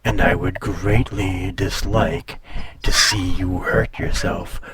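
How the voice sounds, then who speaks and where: A man playing a proud, teasing ancient dragon in an intimate roleplay audio, speaking in a condescending, often hushed and breathy way. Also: sits low, fairly even intonation, slow. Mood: smug, solicitous.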